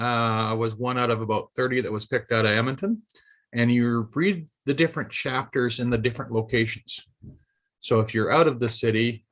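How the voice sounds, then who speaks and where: A chatty man from Canada with a middle-pitched voice. He explains things in a loose, casual way, as on an informal video call. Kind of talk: casual chat.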